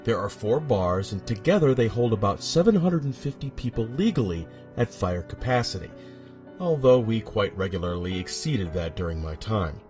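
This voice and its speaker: A man with a husky voice narrating a first-person horror story, dramatic and suspenseful, with deliberate pauses. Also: on the low side, animated, darkish tone, faint music behind.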